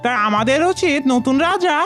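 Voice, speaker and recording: A woman voicing a sly, ambitious fox in an animated fable, persuasive and boastful about itself. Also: high-pitched, moderate pace, faint music behind. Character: sly, ambitious, self-important fox who wants to be king.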